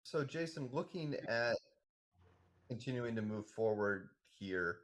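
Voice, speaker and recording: A man at a workshop asking a question, halting and repeating words as he goes. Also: medium pitch, expressive.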